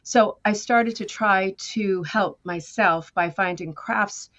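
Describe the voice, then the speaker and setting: A woman's voice: medium pitch, takes it slowly, a bit bright, highs cut off. An American woman telling her story as a guest in a conversational interview, chatty and self-deprecating. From United States. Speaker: guest artist.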